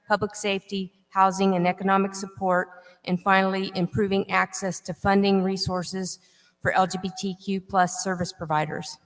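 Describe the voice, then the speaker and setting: A woman moderating a debate, reading long prepared questions in a measured, formal way. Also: mid-range voice, a bit bright, in a large hall.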